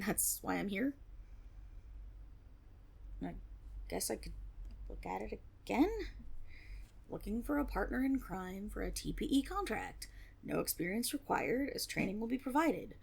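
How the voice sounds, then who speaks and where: Woman acting a scrappy young tiefling thief gal, husky n a bit creaky, stammers thru her bluster, fantasy audio drama. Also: medium pitch, animated, a little slow, long pauses, full character voice. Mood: defensive, puzzled. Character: flustered young female tiefling rogue, cocky but insecure. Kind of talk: fantasy roleplay audio drama, one-sided dialogue.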